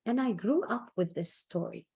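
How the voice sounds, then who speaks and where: A woman's voice: lowish voice, moderate pace, vocal fry, mellow, slightly rough. A woman speaking as a motivational speaker, telling personal stories in an emphatic, conversational way and repeating phrases for emphasis. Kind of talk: personal storytelling talk on self-esteem.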